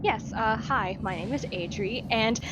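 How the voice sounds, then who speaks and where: A woman's voice: a little high, unhurried, noisy background. A woman co-commentator chatting and joking casually over a game.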